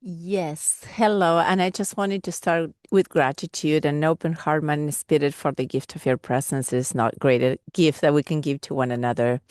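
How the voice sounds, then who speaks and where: Low, dry-sounding woman from Argentina. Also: steady tone, moderate pace, hardly any pauses, a little mellow, no room sound. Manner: searching, meandering, with many restarts. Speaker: caller asking a question. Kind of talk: earnest live Q&A question.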